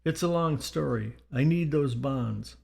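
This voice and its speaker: A man's voice: mid-range voice, measured pace, creaky, darkish tone, voice pushed up. A man voicing an impatient, money-hungry widow in an audiobook, in a demanding, pushy way. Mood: insistent.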